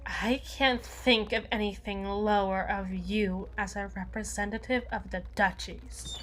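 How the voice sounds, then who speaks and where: Slow, haughty evil queen, acted by a woman. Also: medium pitch, noisy background, lightly acted. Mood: cold fury. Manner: haughty, theatrical, deliberate formal diction. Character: jealous, menacing evil queen, regal and possessive. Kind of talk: ASMR roleplay voice acting.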